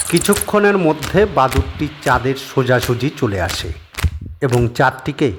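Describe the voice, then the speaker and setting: A man narrating a horror audio story in a measured storytelling manner. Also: medium pitch, background noise.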